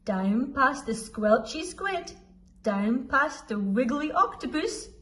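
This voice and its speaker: Slow, high-voiced woman reading a children's story. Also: animated, fairly bright tone. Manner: deliberate, dramatic with sound words. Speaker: school storyteller.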